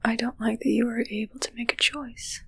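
A young woman playing a possessive, commanding witch in an ASMR roleplay, speaking in a soft breathy whisper with slow, deliberate phrasing. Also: on the high side, steady tone, full character voice. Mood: coldly controlling. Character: controlling witch grooming her familiar, possessive and commanding. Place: small dark draped indoor room. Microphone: close ASMR microphone.

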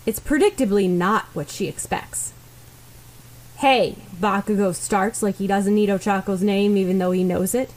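A woman's voice: mid-range voice, fairly even intonation, normal speed, few pauses, a little mellow, rough recording. A woman reading fan fiction aloud as scripted story narration. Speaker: fan fiction podfic reader.

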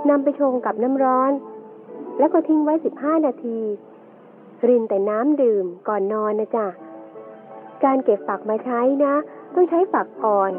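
A woman playing a gentle mother who patiently teaches her young daughter in an educational radio drama. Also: a little high, close to an everyday voice. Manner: gentle, instructive. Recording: tinny band-limited audio with music behind.

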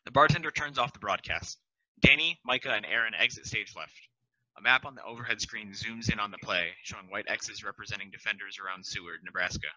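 A man reading stage directions in an even, matter-of-fact way, speaking into a headset. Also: lowish voice, fairly quick, no room sound, lo-fi sound.

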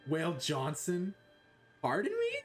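A man's voice: fairly high pitch, wildly swooping pitch, measured pace, over loud music. A young man streaming a game from a small room, joking crudely and rambling with his chat. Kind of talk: live game stream commentary.

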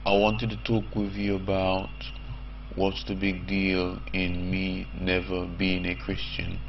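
Croaky, slow-talking man, very deep and flat. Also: soft background music. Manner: halting, many restarts and fillers. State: croaky, just woke up. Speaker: vlogger. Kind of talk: unscripted talk to camera. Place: small room indoors. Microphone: lapel mic. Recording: dull lo-fi sound with noise.

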